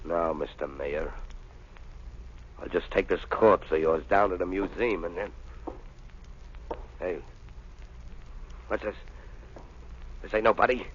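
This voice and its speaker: A man's voice: sits low, takes it slowly, pauses often, a little hiss or hum. An actor playing a vengeful, hot-headed gangster with crude, slangy tough-guy talk in a 1930s radio crime drama. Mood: startled, defiant. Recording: muffled vintage 1930s radio recording.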